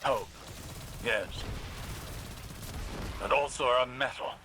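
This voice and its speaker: A man's voice: mid-range voice, slow pace, sharp tone, a little hiss or hum. A man playing a stoic, weary older scholar-warrior in a video game, resolute and grave in his delivery. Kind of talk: dramatic game dialogue.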